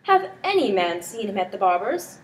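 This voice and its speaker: A teenage girl playing a teasing, excitable prince in an amateur Shakespeare performance. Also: medium pitch, normal speed, lightly acted. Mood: playful teasing. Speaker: student performer. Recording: distant mic with background noise.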